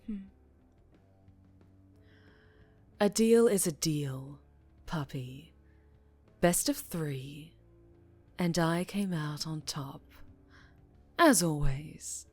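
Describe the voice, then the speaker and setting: Woman acting a low, slow, menacing mafia boss lady. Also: exaggerated voice. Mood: smug. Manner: teasing, slow, deliberate, drifting into whispers. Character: dangerously charming, menacing female mafia boss, teasing and controlled. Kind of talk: ASMR roleplay acting.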